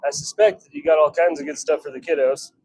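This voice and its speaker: A man's voice: fairly high pitch, fairly even intonation, fast, very noisy. A man chatting informally on a livestream while he works, talking half to himself and half to viewers. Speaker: livestream host, hobby blacksmith. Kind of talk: casual livestream chat while working. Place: home forge workshop.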